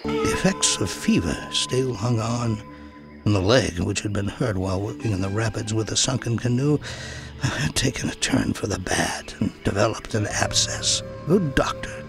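Male narrator for memoir audiobook: animated pitch, gravelly edge, deliberate dramatic phrasing. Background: music.

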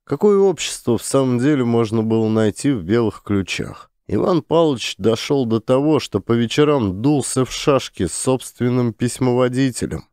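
A male narrator reads a classic story in a measured, storytelling manner. His voice is very low and dark, with a warm mellow tone, and the recording is dry and close with no room sound. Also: dry recording. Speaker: audiobook narrator.

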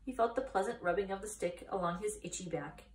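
A woman in her thirties reading a children's book aloud as expressive storytime narration. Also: medium pitch, brisk. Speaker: storytime reader. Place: small indoor room, seated by a wall. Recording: echoey room, distant microphone.

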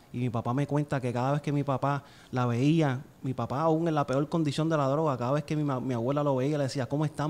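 A man's voice: medium pitch, a little mellow. A man in his thirties with a Puerto Rican accent tells his personal story as an interview guest, earnestly and fluently, close to a studio microphone. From Puerto Rico. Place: small indoor studio. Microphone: close studio microphone on a boom arm.

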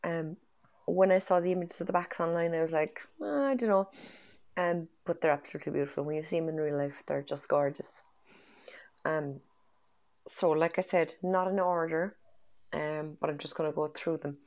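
A woman's voice: low-pitched, moderate pace, darkish tone. An Irish woman in her thirties, congested from a cold, chatting enthusiastically in a home vlog through earphone mic in her bedroom, with plenty of ums. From Ireland. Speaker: tarot deck reviewer. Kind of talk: casual vlog chat. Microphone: wired earphone mic.

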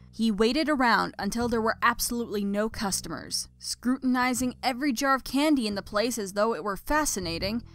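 A woman's voice: a little high, fairly bright tone. A woman reading scripted story narration expressively as the narrator.

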